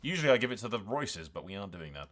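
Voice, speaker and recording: A man's voice: lowish voice, sing-song, rapid-fire, a bit bright. A man giving casual, sweary and blunt gameplay commentary as the host of a gaming video. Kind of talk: casual gameplay commentary with role-play asides.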